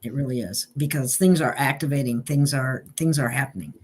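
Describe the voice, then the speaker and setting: A woman hosting a casual conversation, speaking haltingly with frequent pauses and restarts. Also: extremely low pitch, a little mellow, slightly rough. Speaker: host. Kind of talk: casual chat.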